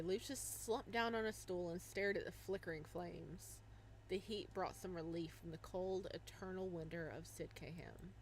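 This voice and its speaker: A woman streamer reading a visual novel aloud in an expressive way. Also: on the low side, slight background noise, poor audio. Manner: expressive, shrieks and laughs at surprises. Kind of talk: dramatic reading of game text with reactions.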